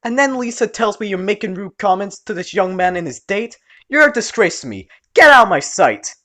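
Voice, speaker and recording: A man's voice: high-pitched, very animated, brisk, bright, forceful tone, pitched above the performer's own voice, exaggerated voice. A man voicing a stern elderly woman who runs a restaurant. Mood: furious. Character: stern elderly Italian aunt, fierce then kind.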